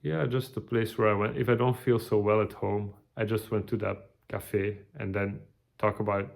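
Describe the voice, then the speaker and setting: A tired man, giving a halting, casual video update with many restarts. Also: on the low side, little pitch movement, vocal fry. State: tired, burned out. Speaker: vlogger.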